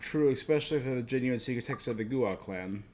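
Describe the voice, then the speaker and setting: A man's voice: medium pitch, a little fast, a little mellow, close to an everyday voice. A man reading game dialogue aloud as a proud, upright old martial arts master, earnest and formal.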